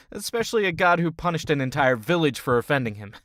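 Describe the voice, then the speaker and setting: A woman's voice: low voice, sing-song, moderate pace, no echo, studio quality. A woman playing a blunt, skeptical adventurer in a fantasy audio drama, with a wry way of speaking.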